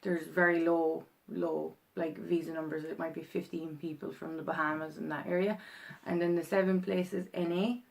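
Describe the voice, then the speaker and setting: Young irish woman vlogging, lowish gravelly voice, rambly, lots of ums. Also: level tone, moderate pace. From Ireland. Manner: rambling, chatty, full of ums and restarts. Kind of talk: casual explainer vlog.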